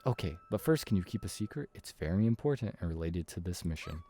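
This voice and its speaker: A man's voice: sits low, lively intonation, quick pace, mellow, soft background music. A man voicing an eager, kindly young girl who loves animals, in a visual novel read-aloud. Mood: sweet, confiding.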